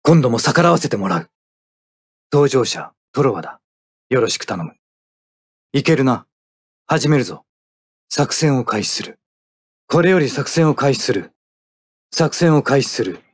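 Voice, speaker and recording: A man's voice: medium pitch, very animated, a little slow, pauses often, breathy edge, no echo. An actor playing a stoic young male mecha pilot in an anime combat game, speaking in terse, tactical military phrases. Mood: cool, composed.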